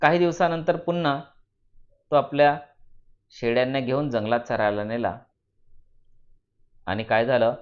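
A man narrating a moral story for students in deliberate phrases with dramatic emphasis. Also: medium pitch, steady tone, lo-fi sound.

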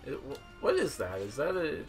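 A young man giving casual, offhand gameplay commentary as he demos game characters, with a hesitant, halting delivery. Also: medium pitch, huge pitch swings. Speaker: gamer demoing video game characters. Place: indoor room at home, seated. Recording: game music behind the voice. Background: video game music.

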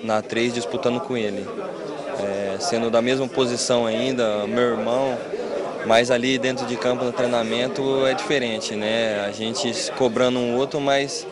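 A Brazilian footballer in his thirties, a man giving earnest, steady answers. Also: mid-range voice, moderate pace. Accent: Brazilian Portuguese. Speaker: football player. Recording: crowd noise behind.

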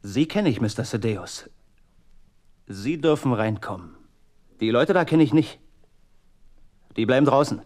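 A man acts a gruff servant guarding a gate in a radio play. His voice sits at a middling pitch. He is stubborn, curt and blunt. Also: animated, unhurried, long pauses, a little mellow, natural voice. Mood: curt, unyielding. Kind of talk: German radio drama acting.

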